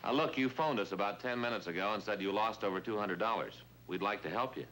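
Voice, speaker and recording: A man playing a brisk, practical police detective partner in a 1950s crime drama, with a businesslike manner. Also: lowish voice, a bit bright, natural voice. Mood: brisk, firm. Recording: slightly noisy old 1950s soundtrack.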